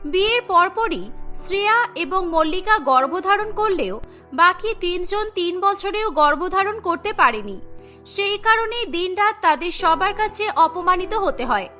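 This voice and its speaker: A woman's voice: extremely high pitch, expressive, unhurried, bright, forceful tone. A woman narrating a scripted cartoon family story. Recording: music bed behind the voice.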